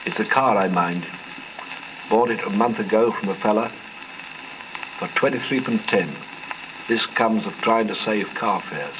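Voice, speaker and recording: A rough Cockney crook, played by a man reading a story, grumbles in a low, hoarse, urgent voice. It comes from a muffled 1920s gramophone record with some noise. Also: a little slow, pauses often, natural voice. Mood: complaining. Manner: urgent, complaining.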